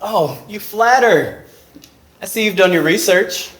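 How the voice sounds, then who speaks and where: An actor playing a smooth, mysterious male fencing rival who answers coyly and evasively, on a theater stage. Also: sits high, unhurried, a bit bright. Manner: coy, evasive. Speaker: fencer. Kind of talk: stage play acting. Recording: roomy stage sound.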